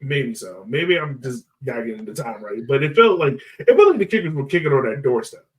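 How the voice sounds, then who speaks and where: A man's voice: medium pitch, expressive, a little fast, far from the mic, low-quality recording. Playful man hosting, slightly off the mic. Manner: playful, laughs often. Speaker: soccer podcast host. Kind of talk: casual chat, joking sports talk.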